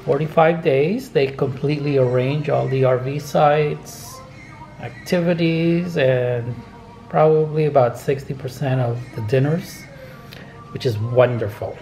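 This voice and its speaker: Slow, opinionated older man at home. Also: medium pitch, dark tone, reverberant, faint music behind, rough recording. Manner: slow, opinionated, chuckles as he talks. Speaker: RV travel vlogger. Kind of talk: casual talk to camera. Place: home dining room, indoors. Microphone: fixed camera across the table.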